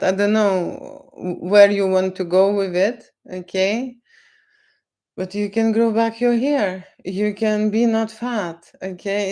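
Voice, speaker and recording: A woman with a Lithuanian accent, not a native English speaker, answering viewers' questions on a livestream in a slow, lecturing way and tagging "okay?" onto her points. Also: medium pitch, darkish tone. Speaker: photographer on a livestream. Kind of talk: live Q&A chat with viewers.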